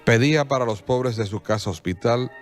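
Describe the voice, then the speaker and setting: A man narrating a radio drama in a measured storytelling manner, with soft violin music behind. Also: mid-range voice.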